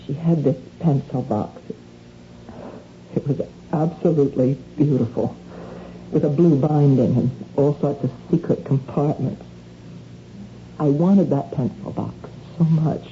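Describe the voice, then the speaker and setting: Anxious, defensive wife, muffled old radio. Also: female voice, sits low, unhurried, warm tone, slight background noise. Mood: wistful, confiding. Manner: pleading, agitated, evasive. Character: anxious, defensive married woman, a compulsive shoplifter. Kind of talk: 1970s radio drama acting.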